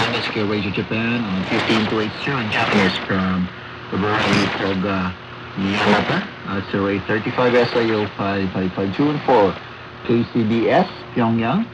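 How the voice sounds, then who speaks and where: A man from the Philippines with a Filipino accent, reading a scripted report as a radio correspondent. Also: fairly low pitch. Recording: noisy shortwave radio broadcast.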